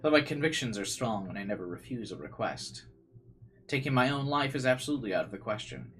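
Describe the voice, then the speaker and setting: A man's voice: medium pitch, expressive, fast, a bit bright, slight background noise. A man playing a visual novel, reading its narration aloud dramatically and adding casual commentary. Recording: game music behind the voice.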